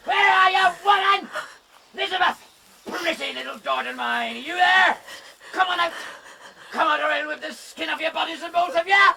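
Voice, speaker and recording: An actor playing a brutal, drunken sea captain in a radio drama, shouting and laughing cruelly. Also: male voice, very high voice, moderate pace, gravelly, far from the mic, slight background noise, heavily acted. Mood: raging.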